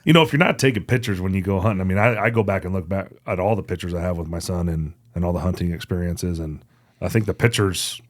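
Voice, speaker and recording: A man's voice: sits low, fast, no echo, studio quality. A man co-hosting a podcast in casual, informal conversation. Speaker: podcast co-host.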